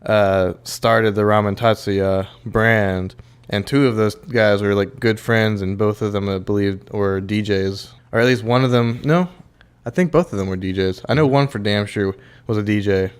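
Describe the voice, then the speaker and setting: A young man with a low, smooth, resonant voice chats casually as a podcast co-host. He talks loosely, swears freely, and scatters "like" and restarts through his sentences. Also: normal speed. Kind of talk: casual chat.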